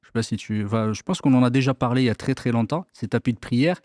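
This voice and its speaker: A man's voice: mid-range voice, fast talker, darkish tone, dry recording, clean studio sound. A man hosting an Islamic radio discussion. He talks hesitantly, restarts often and peppers his questions with Arabic blessings. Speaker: host of an Islamic radio show. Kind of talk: religious discussion, asking questions.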